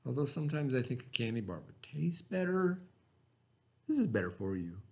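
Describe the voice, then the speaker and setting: A man in his forties, a teacher, giving slow step-by-step craft instructions to children from a classroom webcam. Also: mid-range voice, very animated. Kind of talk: remote classroom craft lesson. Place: classroom, indoors. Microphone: webcam at desk.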